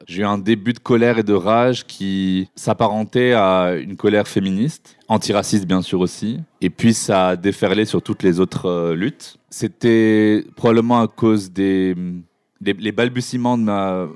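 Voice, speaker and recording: Low-voiced man from Morocco. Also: a little mellow, no echo. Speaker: podcast guest. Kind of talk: personal account in a live interview. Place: live public recording before an audience.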